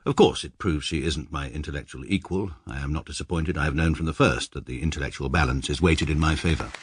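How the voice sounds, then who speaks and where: A man's voice: very deep voice, fast, husky. An English actor in his thirties narrating as a pompous, precise barrister in a radio drama, dry and self-satisfied. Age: 30s. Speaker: narrator and lead.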